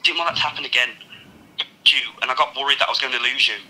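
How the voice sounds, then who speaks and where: A man's voice: mid-range voice, rapid-fire, sharp tone, poor audio. A young man acting in a radio drama, playing a pleading, manipulative ex-boyfriend through a telephone filter. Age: young adult. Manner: pleading, persuasive.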